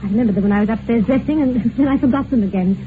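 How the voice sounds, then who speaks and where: An actress in an old-time radio drama playing an excitable upper-class society lady with a dramatic, emotional manner. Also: a little high, very fast, lightly acted. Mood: anxious. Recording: tinny, noisy old radio recording.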